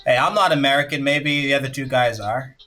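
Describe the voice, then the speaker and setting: A man from Jamaica chatting casually on a live stream, excitable, repeating himself and laughing often. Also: medium pitch, a little fast, noisy background.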